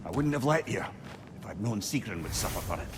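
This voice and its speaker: An actor playing a witty, talkative old companion in a video game, chatty and wry, with a fond, self-deprecating storyteller's manner. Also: male voice, on the low side, big pitch swings, fast. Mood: wistful, wry. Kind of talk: dramatic video game acting. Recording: long echoing hall reverb, music behind.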